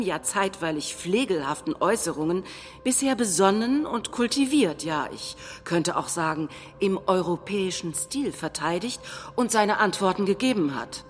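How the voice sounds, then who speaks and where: A woman acting in documentary theatre, playing a combative, self-assured nationalist leader giving a measured speech. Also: mid-range voice, lightly acted. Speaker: actor in a political documentary theatre piece. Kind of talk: staged reading of a political speech. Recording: long hall reverb, faint music.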